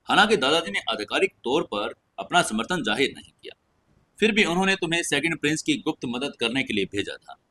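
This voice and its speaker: A man's voice: on the high side, sing-song, fairly quick, bright, forceful tone, full character voice. A man voicing a cold, commanding teenage noble girl in an audio story, with an indifferent, dismissive manner. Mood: indifferent, probing. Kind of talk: audio story character dialogue.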